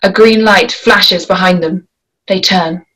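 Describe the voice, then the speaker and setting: A woman reading stage directions aloud at a script table read, in a deliberate, measured way. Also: fairly low pitch, fairly even intonation, fairly bright tone.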